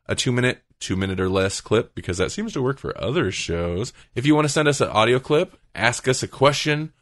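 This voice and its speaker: A man's voice: mid-range voice, expressive, fairly quick, fairly bright tone, dry recording, clean studio sound. A man hosting a podcast in casual chat, with a joking, rambling manner. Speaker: podcast host.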